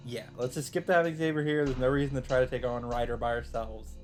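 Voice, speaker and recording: A man's voice: medium pitch, steady tone, quick pace, faint music behind. A man hosting a let's play, reading a visual novel aloud dramatically, with casual commentary.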